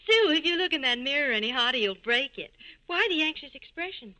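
A woman playing a brisk, bossy, friendly young office worker in a 1940s radio ad, giving chirpy advice. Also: high voice, lively intonation, pushed and bright, rough recording. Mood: teasing, eager. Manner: chirpy, advising.

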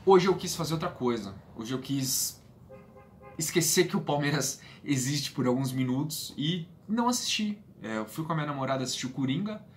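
A man's voice: fairly high pitch, lively intonation, brisk. A man in his late twenties with a Brazilian Portuguese accent, talking casually and earnestly as a fan vlogger, repeating phrases for emphasis. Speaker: football fan channel host. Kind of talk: casual vlog monologue. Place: small bedroom, indoors. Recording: clear background room noise.

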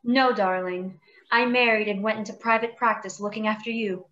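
A woman's voice: mid-range voice, steady tone, moderate pace, fairly bright tone, lo-fi sound, natural voice. A woman playing a gracious, concerned young wife with polite, genteel speech in a radio play. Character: gracious, concerned young married former nurse.

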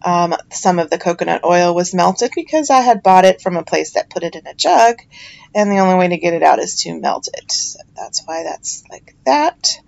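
A woman's voice: on the low side, expressive, normal speed, gravelly, darkish tone, dull audio. A middle-aged woman with a chatty, self-deprecating manner, giving a casual voiceover narration as a home soap maker.

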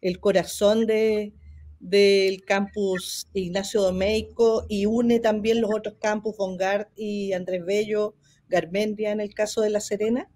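A woman's voice: medium pitch, flat delivery, measured pace, a little mellow. A woman in her thirties with a Chilean Spanish accent, politely guiding an interview over a video call. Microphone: video call webcam.

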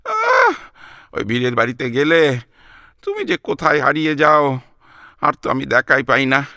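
A childish, sentimental old husband in a comic radio play with a West Bengal accent. His creaky voice swings wildly in pitch as he coaxes, stammers and pleads, recorded dry and close over music. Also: sing-song, a little slow, bright, forceful tone, no echo, exaggerated voice. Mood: whiny, tearful. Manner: coaxing, stammering, plaintive. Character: sentimental, wheedling elderly husband, clingy and childish. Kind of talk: comic Bengali audio drama acting.

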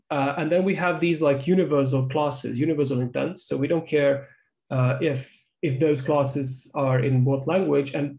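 A man's voice: a little high, a little mellow. A middle-aged man whose first language is Croatian gives an online research talk over a video call, often restarting and repeating "so, so". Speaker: guest speaker. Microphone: webcam on a video call.

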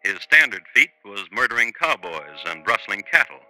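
An actor playing a droll, formal crime storyteller, narrating a 1950s radio show with deadpan irony. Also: male voice, lowish voice, normal speed, faint music behind, heavily acted, poor audio. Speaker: host and narrator.

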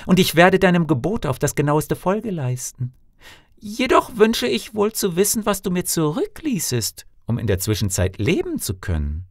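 Man putting on a high-pitched wilful young princess. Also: normal speed, no echo, studio quality, full character voice. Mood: submissive, questioning. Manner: expressive, theatrical. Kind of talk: fairy-tale audiobook character voice.

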